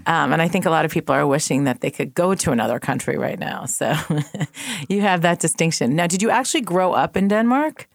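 A woman's voice: sits low, expressive, a little fast, creaky, dry recording, clean studio sound. A woman hosting an interview podcast, a TV producer, chatty and bubbly, laughing often.

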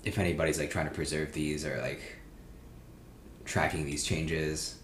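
A man's voice: extremely low pitch, level tone, moderate pace. A man hosting a casual chat, talking in a laid-back, rambling way with lots of fillers. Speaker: host. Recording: echoey room with faint noise.

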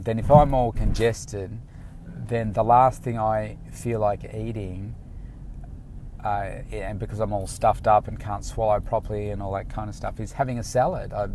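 A man's voice: lowish voice, mellow, a little hiss or hum. A man, a fitness coach talking frankly and casually from his car, rambling with many restarts. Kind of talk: casual motivational talk to camera. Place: inside a car.

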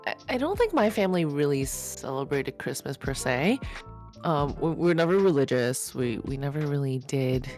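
A young woman co-hosting a casual podcast chat, telling stories in a relaxed way. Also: low voice, moderate pace, soft background music. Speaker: podcast co-host.

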